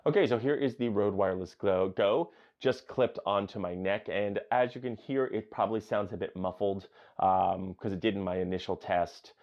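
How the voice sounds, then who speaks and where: A man with a deep booming voice giving a chatty, enthusiastic camera gear review, recorded on a changing mix of camera, lapel and wireless mics. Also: moderate pace, highs cut off. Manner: enthusiastic, chatty with many fillers. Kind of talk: casual product review. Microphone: changing mics: built-in camera mic, lapel and wireless mic.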